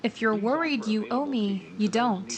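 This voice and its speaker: A woman's voice: on the high side, a little slow, a crowd in the background. A woman playing a cool, teasing, self-assured schoolgirl in an audiobook drama.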